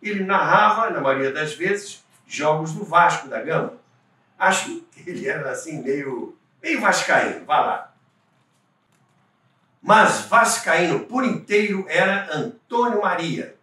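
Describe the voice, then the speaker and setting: A man's voice: a little high, pushed and bright. A Brazilian man narrating radio history from a script, with theatrical storytelling and chatty asides. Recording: echoey room, distant mic.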